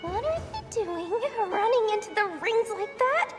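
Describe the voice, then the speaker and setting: A woman's voice: very high voice, big pitch swings, normal speed. A woman voicing a scheming little female merchant in a video game, with a theatrical sales patter. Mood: astonished, suspicious. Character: scheming, greedy little female merchant running a prize stall. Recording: music behind the voice.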